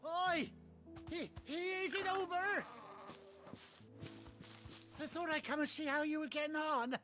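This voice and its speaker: An actor playing a chatty, kind-hearted rustic trader in a video game, with a British accent and a folksy, hearty, colloquial way of talking. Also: male voice, extremely high pitch, steady tone, unhurried. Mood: cheerful. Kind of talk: video game cutscene acting. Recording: game music behind the voice.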